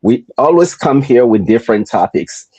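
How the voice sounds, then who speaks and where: Mellow Nigerian man hosting a podcast. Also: mid-range voice, lively intonation, breathy edge, dry recording. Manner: rhetorical, deliberate repetition. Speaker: podcast host. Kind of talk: motivational podcast talk.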